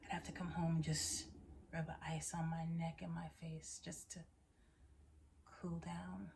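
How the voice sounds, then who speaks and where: A woman performing an intimate dramatic monologue as a haunted woman confiding a tale of passion, hushed, breathy and measured. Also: deep voice, level tone, a little hiss or hum, voice pushed down.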